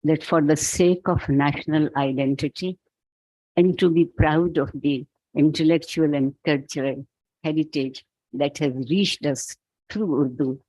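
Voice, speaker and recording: A man with a Pakistani accent giving a reflective keynote talk, speaking in a measured, halting, philosophical way. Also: a little high, fairly even intonation, dark tone, no room sound, low-quality recording. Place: event hall.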